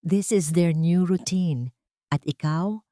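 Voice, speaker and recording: A woman's voice: fairly low pitch, slow, mellow, no room sound, studio quality. Woman voicing a haughty, bossy Filipino female expert. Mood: cold, bossy. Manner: commanding, clipped, condescending. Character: haughty, strict female child-therapy expert, bossy and cold. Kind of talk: audiobook character dialogue mixing Tagalog and English.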